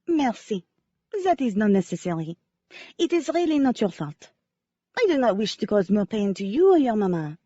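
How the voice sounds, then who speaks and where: A woman voicing a petite female ballerina who speaks in formal, halting English with stiff, careful phrasing, in an audiobook. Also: medium pitch, very animated, warm tone, no room sound, dull audio. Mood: earnest.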